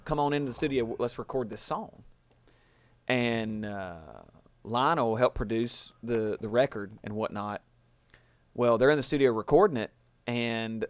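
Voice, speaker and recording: A hoarse man, co-hosting a podcast with teasing banter and lively storytelling. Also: mid-range voice. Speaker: podcast co-host. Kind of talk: casual banter.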